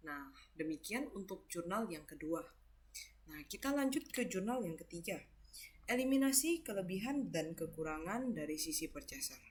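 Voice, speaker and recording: A woman's voice: lowish voice, animated, normal speed, a little mellow, husky. A young woman with an Indonesian accent explains step by step as a tutor, conversationally, often asking questions and answering them herself. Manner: conversational, asks and answers own questions, frequent tag words. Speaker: accounting tutor.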